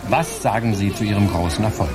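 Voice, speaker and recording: An actor playing a formal, measured television presenter in a scripted radio drama. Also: male voice, on the low side, over music, natural voice. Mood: polite, congratulatory. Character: formal male television sports presenter and marketing consultant.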